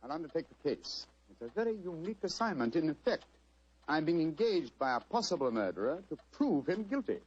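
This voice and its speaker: A brisk gentleman detective speaks quickly with formal, courteous precision. His intonation swings expressively, and the old recording is dull and noisy. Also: a little high, very animated. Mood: assured. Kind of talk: 1940s radio drama acting.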